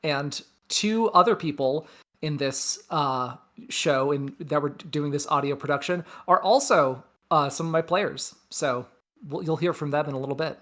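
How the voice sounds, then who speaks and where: Low-voiced woman, casual podcast host. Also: measured pace, a bit bright. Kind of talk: casual self-introduction.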